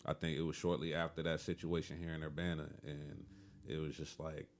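Man, very deep voice, calm, laid-back, casual chat, musician guest. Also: fairly quick.

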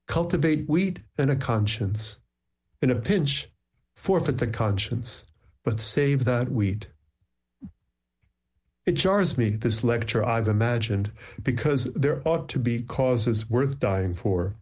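A man whose first language is Ukrainian, a poet speaking thoughtfully and reflectively in measured phrases as an interview guest. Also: medium pitch, a little mellow. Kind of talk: thoughtful conversation and poetry reading.

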